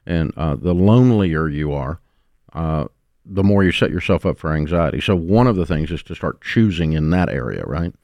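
A man's voice: very deep voice, expressive, normal speed, a little mellow, no echo, muffled recording. A man hosting a long-running talk show, speaking bluntly and plainly in casual conversation. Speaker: radio show host.

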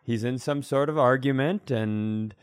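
A man's voice: mid-range voice, unhurried, clean tone, dry recording. Man in rambling, wry podcast chat, dry sound. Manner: rambling, tells anecdotes with wry speculation. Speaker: podcast co-host and comedian. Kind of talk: casual comedic chat.

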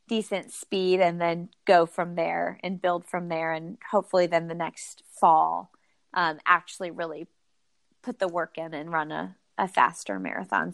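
A woman's voice: lowish voice, gravelly, dry recording. A woman in her thirties, a guest on a podcast, talking casually and laughing often about her own running. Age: 30s. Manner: chatty, laughs often, self-deprecating. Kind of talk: casual interview chat.

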